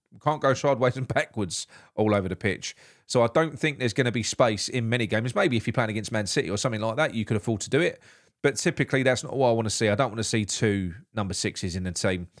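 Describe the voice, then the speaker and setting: A fairly low-voiced man hosts a solo morning show about football. His nose is blocked and his voice sounds nasal. He rambles, restarts his sentences and argues his opinions with conviction. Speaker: football podcast host.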